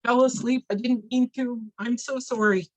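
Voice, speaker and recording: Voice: medium pitch, fairly even intonation, moderate pace, dry recording, pitched above the performer's own voice, close to an everyday voice, poor audio. A storyteller voicing an apologetic, eager helper who gets tearful and upset in a folk tale. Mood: apologetic, flustered.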